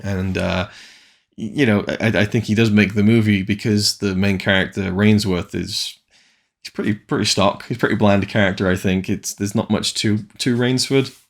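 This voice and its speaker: Deep gravelly film reviewer. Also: male voice, fairly even intonation, moderate pace. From Wales. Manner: rambling and hesitant, many restarts. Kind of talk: casual video review.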